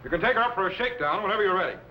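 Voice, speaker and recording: A man's voice: high-pitched, expressive, racing. An actor playing a confident, fatherly space captain in a 1950s sci-fi serial, explaining things with genial authority. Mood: brisk. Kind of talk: 1950s television serial acting. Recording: dull, faintly noisy old soundtrack.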